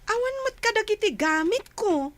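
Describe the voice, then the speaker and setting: A woman's voice: very high-pitched, animated, moderate pace. A woman playing a helpful, sympathetic schoolgirl best friend in a comedy radio drama. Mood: friendly.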